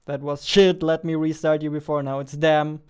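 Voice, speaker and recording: A man's voice: a little high, fairly even intonation, moderate pace, smooth. A young man giving casual work-along commentary, thinking aloud in a joking, self-mocking way at his desk. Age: young adult. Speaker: indie game developer vlogging. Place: indoors at a computer desk.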